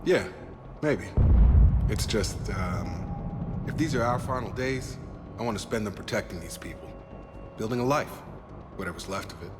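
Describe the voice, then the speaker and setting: A man's voice: fairly low pitch, normal speed, long pauses, over loud music, natural voice. A man playing a loyal, earnest ex-soldier in a sci-fi video game, plain-spoken and sincere. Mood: reflective, sincere. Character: loyal, earnest male ex-soldier guarding refugees. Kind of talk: cinematic video game dialogue.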